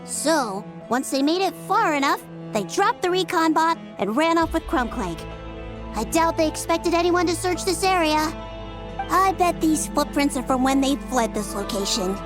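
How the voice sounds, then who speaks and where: An earnest young game detective, high-voiced and animated. She reasons in a precise, analytical way, with soft music underneath. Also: normal speed. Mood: confident. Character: bright, analytical young female detective, non-human, earnest. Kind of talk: video game voice acting.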